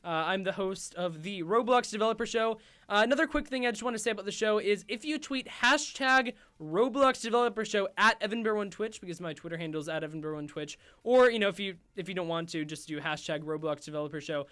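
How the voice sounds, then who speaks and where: A young man hosting a gaming livestream, rambling with lots of fillers and going hoarse. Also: sits high, quick pace, hardly any pauses, sharp tone. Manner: rambling, filler-heavy, self-interrupting. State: losing his voice. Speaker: host. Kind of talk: casual livestream chat while gaming.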